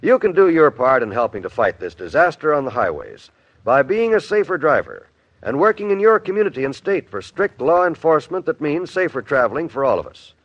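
A man reading a scripted public service message as a radio announcer, in an earnest, deliberate, formal manner. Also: fairly high pitch, sing-song, no room sound. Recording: dull, band-limited old radio recording.

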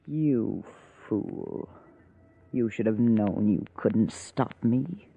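Scheming femme fatale, old radio. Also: female voice, rumbling low, very slow, vocal fry, over loud music, heavily acted. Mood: cold, menacing. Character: bold, scheming newspaper woman, coolly seductive and ruthless. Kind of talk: 1940s radio drama acting. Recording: dull, noisy old radio recording.

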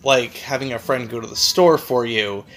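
Dry, matter-of-fact man, mid-pitched. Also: normal speed, fairly bright tone, faint music behind, low-quality recording. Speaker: co-host of a bourbon show. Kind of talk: casual tasting chat.

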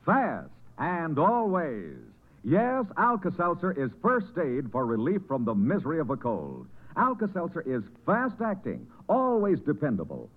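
A man's voice: fairly high pitch, wildly swooping pitch, measured pace. A man announcing a scripted commercial in old-time radio advertising style. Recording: tinny vintage radio recording.

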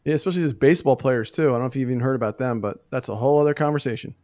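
A man's voice: medium pitch, very fast, a little mellow, dry recording, clean studio sound. A man co-hosting a podcast, teasing and playful in banter, then reading out news items. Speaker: podcast co-host.

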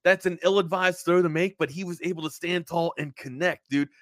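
A man's voice: on the high side, fairly even intonation, brisk, a bit bright, dry recording, studio quality. A man co-hosting a sports podcast in casual, slangy talk, peppering his points with man and bro.

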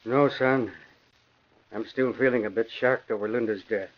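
A man's voice: medium pitch, steady tone, normal speed, close to an everyday voice. An actor as troubled, caring older father. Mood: shaken, sombre. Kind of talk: 1940s radio drama acting. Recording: dull vintage radio recording.

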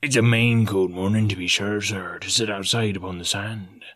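A man's voice: lowish voice, steady tone, normal speed, a bit bright. A man voicing a wily, jovial one-legged old male pirate, talking in a wheedling, mock-polite way.

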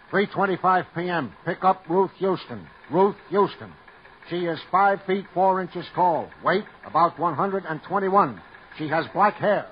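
An actor playing a police sergeant broadcasting a brisk all-cars bulletin in an old-time radio drama. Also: male voice, high-pitched. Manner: brisk, announcing. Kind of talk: 1940s radio acting. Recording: muffled old radio recording, faint hiss.

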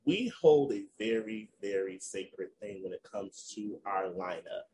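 A man's voice: fairly low pitch, lively intonation, measured pace, dark tone, a little hiss or hum, poor audio. A man hosting a casual podcast, recounting a news story to his cohosts in a storytelling way. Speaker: podcast host.

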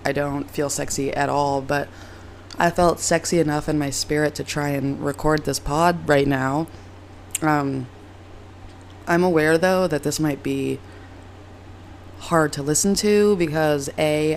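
Young female podcast host, hoarse and raspy from a cold, gravelly and chatty, over faint background noise. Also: low-pitched, fairly even intonation, measured pace, darkish tone. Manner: rambling and self-deprecating. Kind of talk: casual solo podcast chat. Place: moved from Reno to LA, recording at home.

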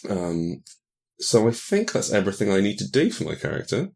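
Male, low register, joking game-designer guest in casual tabletop chat, halting restarts. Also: huge pitch swings, creaky, a little mellow.

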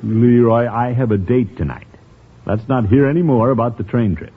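An American actor playing a fussy, pompous middle-aged uncle in an old-time radio comedy, blustering and stammering theatrically. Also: male voice, mid-range voice, normal speed, husky. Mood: scheming. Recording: tinny, noisy old radio recording.

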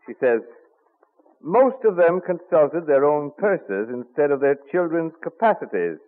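An irascible master printer of colonial days, pompous and full of bluster. His voice sits high and leaps about as he declaims in archaic words. It comes through a narrow, muffled old radio broadcast. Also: sing-song, unhurried. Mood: pompous, amused. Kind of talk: old-time radio drama acting.

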